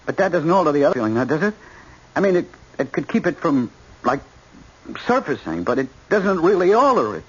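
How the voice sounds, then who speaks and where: An actor playing a frank, brooding young male killer in prison, halting as he searches for words, in a vintage radio drama. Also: fairly high pitch, big pitch swings, slightly rough. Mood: earnest, reflective. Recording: dull lo-fi old radio recording.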